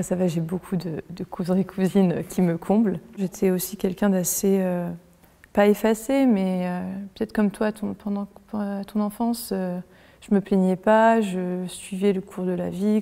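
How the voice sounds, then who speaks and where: A woman in her twenties talking casually in an interview, hesitant, with many pauses and fillers. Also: medium pitch, creaky, warm tone, in a large hall. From France. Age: 20s. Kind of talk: casual conversation.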